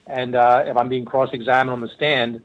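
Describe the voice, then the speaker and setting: A man's voice: medium pitch, little pitch movement, a little fast, phone-quality sound. Middle-aged Philly-accented man, loose rambling guest storyteller. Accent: Philadelphia. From Philadelphia. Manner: rambling, many fillers and restarts. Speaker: forensic linguist guest. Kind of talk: casual interview storytelling.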